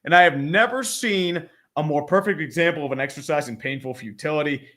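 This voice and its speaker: A man's voice: a little high, a little fast, sharp tone. A man co-hosting a casual sports chat, sardonic, reading viewer comments aloud and riffing on them. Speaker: podcast co-host.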